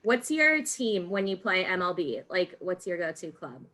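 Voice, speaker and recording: A woman's voice: fairly low pitch, very animated, moderate pace, a bit bright. An American woman hosting a podcast, asking questions in a casual, informal, lightly teasing way. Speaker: podcast host, interviewer. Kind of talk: casual interview chat.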